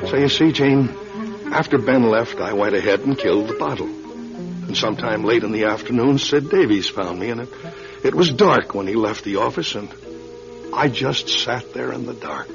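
A man playing a guilt-ridden, middle-aged American political candidate in a classic radio drama, speaking in a halting, confessional way. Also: mid-range voice, creaky, music in the background, close to an everyday voice. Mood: confessional, haunted. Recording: dull lo-fi radio recording.

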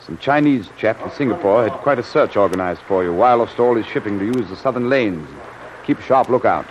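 A man plays a genial British submarine commander in a classic radio play. His voice sits fairly low, frank and jolly in its officer's slang. The recording is dull and clearly noisy. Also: animated, fairly quick, natural voice. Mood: chatty. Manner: hearty, clipped officer's slang. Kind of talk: old-time radio drama acting.

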